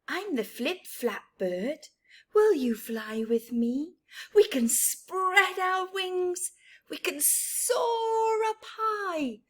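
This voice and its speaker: A woman's voice: extremely high pitch, expressive, extremely slow, voice pushed up, exaggerated voice. A woman voicing a tiny cheerful female bird from a storybook, eager and sing-song.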